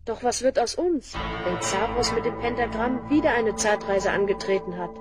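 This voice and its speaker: Woman doing a brave kid hero, high voice, earnest, kids fantasy radio play with music behind. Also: moderate pace, close to an everyday voice. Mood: worried. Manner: earnest, questioning. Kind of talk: children's fantasy audio drama acting.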